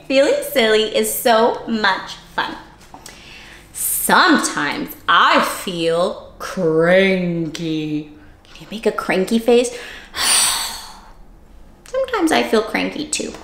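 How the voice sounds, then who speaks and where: Female story-time host, very lively pitch swings, unhurried pace, playful and child-directed, room echo. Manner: animated, exaggerated child-directed delivery with gasps and playful questions. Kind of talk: interactive children's read-aloud.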